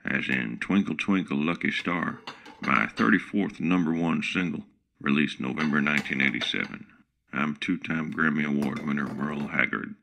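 A folksy, boastful smart-speaker cowboy. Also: male voice, rumbling low, moderate pace, creaky, pushed and bright, full character voice. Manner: folksy drawl, keeps boasting his own titles. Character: folksy country-singer smart speaker, male, middle-aged, self-promoting. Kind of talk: comic radio play.

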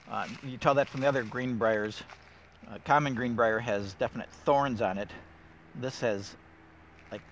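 A man's voice: fairly low pitch, fairly bright tone, a little hiss or hum. A middle-aged man guiding a casual walk through winter woods, enthusiastic and thinking aloud with plenty of fillers. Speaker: woodland steward host. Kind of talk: casual nature walk guide.

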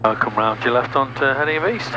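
British flight instructor over loud engine noise. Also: male voice, medium pitch, fast. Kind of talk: casual cockpit instruction and chat. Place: small aircraft cockpit in flight. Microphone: aviation headset. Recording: loud aircraft engine noise, headset audio.

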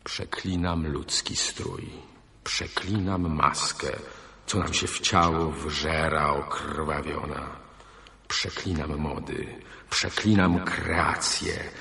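An actor playing a grand, anguished master fashion designer who declaims solemnly and pompously in a radio play. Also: male voice, a bass voice, fairly even intonation, slow, creaky, pushed and bright, full character voice. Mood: bitter cursing. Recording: long hall-like reverb.

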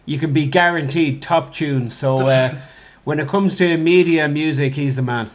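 A man with a slightly raised pitch, talking as a guest with eager, effusive enthusiasm. He sits in a flat's kitchen, caught by one room mic. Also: normal speed. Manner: effusive, enthusiastic, full of praise. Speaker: guest, poker player. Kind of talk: casual chat. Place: kitchen of a flat, indoors.

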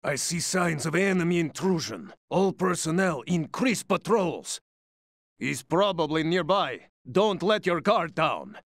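Dutiful patrol soldier, high and animated, clipped game radio reports, dry studio sound. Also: male voice, measured pace, no room sound. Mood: alarmed. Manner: clipped, military. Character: alert, dutiful male enemy soldier on patrol.

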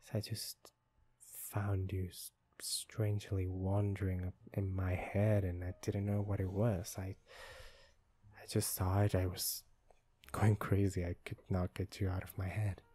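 A man playing a smitten, teasing young office worker in an ASMR romance roleplay, speaking softly and intimately, often close to a whisper. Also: low voice, flat delivery. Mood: tender, smitten. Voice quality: soft, breathy, often dropping to a whisper. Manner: slow, halting, intimate, teasing. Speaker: ASMR voice actor. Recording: music behind a close voice. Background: soft instrumental music.

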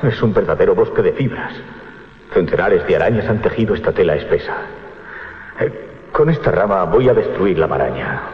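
An actor playing a bold, curious sea captain in a radio drama, authoritative and used to giving orders. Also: male voice, low voice, expressive, moderate pace. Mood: curious, determined. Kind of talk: 1980s Spanish radio drama acting. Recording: dull, slightly noisy 1980s radio recording.

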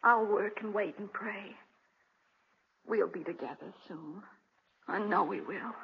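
A woman's voice: medium pitch, slow, creaky, slightly rough, full character voice. An actress playing an anxious, devoted young wife and mother, tender and pleading, in a 1940s radio drama. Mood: tender, hopeful. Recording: dull restored 1940s radio recording.

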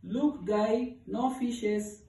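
Man voicing an eager high-pitched hippo, echoey. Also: very slow, dark tone, exaggerated voice. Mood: happy. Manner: begging, earnest, singsong. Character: eager, pleading hippo, hopeful and innocent. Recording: echoey room, distant from mic.

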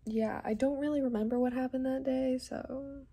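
A woman's voice: sits high, steady tone, normal speed, mellow, slightly rough, no room sound. A teenage girl chatting casually in her vlog with plenty of fillers, filmed on a phone held close. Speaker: vlogger. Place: cold indoor riding barn, then bedroom.

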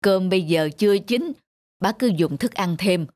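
An actress playing a kindly elderly mother-in-law in a cartoon, calm and gently directing, with a northern Vietnamese accent. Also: mid-range voice, normal speed, natural voice. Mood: apologetic. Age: older. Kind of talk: animated moral-tale dialogue.

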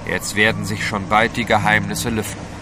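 An assured, commanding gentleman adventurer in an audio drama, played by a man. His voice is fairly low, set in clearly noisy ship ambience. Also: little pitch movement, normal speed, lightly acted. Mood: resolute. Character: resolute gentleman adventurer, protective leader.

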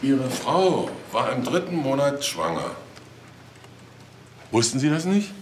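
A man's voice: medium pitch, big pitch swings, slow. A man playing a blunt police detective in a crime drama, dry and sardonic. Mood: dry, probing. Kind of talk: German TV crime drama dialogue. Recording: roomy sound with faint noise.

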